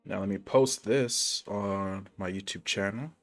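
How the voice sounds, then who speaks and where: A man's voice: on the low side, unhurried, faint music behind. A man live streaming a game, chatting casually in a rambling, slangy and hesitant way. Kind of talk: casual gaming livestream chat.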